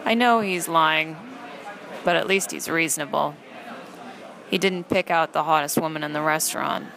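A woman playing a sardonic young fast-food trainee who narrates an audio play in a dry, deadpan way. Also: deep voice, vocal fry, a faint crowd. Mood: dry, unimpressed.

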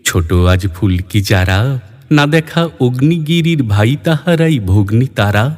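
A man's voice: mid-range voice, animated, unhurried. Male reciter, for dramatic verse. Declamatory and rhythmic, set in a long echoing reverb. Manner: rhythmic, declamatory, with rising refrains. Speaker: poetry reciter. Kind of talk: dramatic Bengali poetry recitation.

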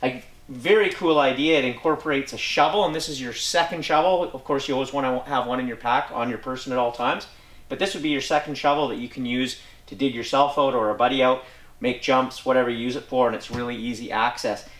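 A man's voice: mid-range voice, brisk, a bit bright. Upbeat man demoing snowmobile bags. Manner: upbeat, casual, with frequent "uh" fillers. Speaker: snowmobile gear dealer presenter. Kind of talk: product walkthrough demo. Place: indoor showroom beside a snowmobile. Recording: echoey indoor room.

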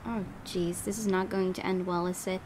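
Woman reading aloud over loud ambient noise. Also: on the low side, fairly even intonation, normal speed. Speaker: let's play host. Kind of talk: reading game narration aloud with asides. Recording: loud game ambience behind the voice.

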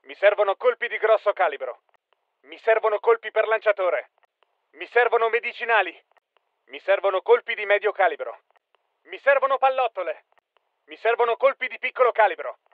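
An actor voicing a tough soldier barking clipped, commanding battlefield callouts for a military shooter game. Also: male voice, high-pitched, lively intonation, moderate pace, pauses often, dry recording, phone-quality sound. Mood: focused, businesslike.

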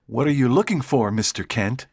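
A determined and curious schoolboy who stays calm and brave, played by a woman narrator. The intonation is lively and the pitch sits a bit low for the reader. It is storybook dialogue with a very dry sound. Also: moderate pace, gravelly, breathy edge, dry recording, exaggerated voice. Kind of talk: audiobook character dialogue.

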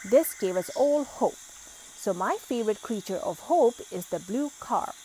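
A woman reading a children's picture book aloud, expressive and lively in the exclamations. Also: mid-range voice, unhurried, slight background noise, low-quality recording. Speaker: storybook reader.

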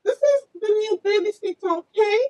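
A young female performer voicing a brisk mother in a children's game roleplay. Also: very high voice, a little mellow, pitched above the performer's own voice. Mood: brisk, instructing. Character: mother calling her daughter, brisk parent.

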